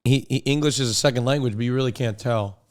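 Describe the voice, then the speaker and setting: Man, raspy voice, mid pitch, joking, casual stream chat. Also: quick pace.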